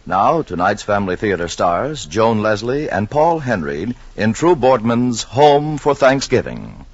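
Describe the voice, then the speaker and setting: A man's voice: fairly low pitch, big pitch swings, measured pace, slight background noise. A man announcing a 1940s radio program in a formal, emphatic, scripted style. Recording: dull vintage radio recording, loud music.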